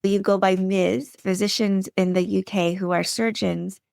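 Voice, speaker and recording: A woman hosting a podcast interview, introducing her guest and asking measured, polite questions. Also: medium pitch, fairly even intonation, gravelly, a little mellow, clean tone, dry recording, studio quality. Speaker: podcast host.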